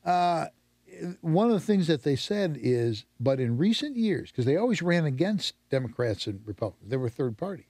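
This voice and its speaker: Lively male talk radio host. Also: on the high side, moderate pace, no echo. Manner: animated, laughs often, jokey asides. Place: radio studio. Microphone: studio microphone.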